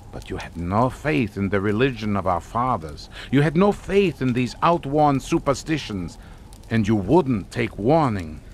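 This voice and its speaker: An actor as a theatrical, menacing older count. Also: male voice, mid-range voice, slight background noise, close to an everyday voice. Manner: theatrical, taunting, formal. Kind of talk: radio suspense drama acting.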